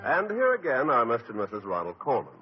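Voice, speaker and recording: A man's voice: medium pitch, wildly swooping pitch, fast talker, over loud music. Formal old-time radio announcer man. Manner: clear, formal, emphatic. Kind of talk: scripted announcing. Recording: dull lo-fi 1950 radio broadcast.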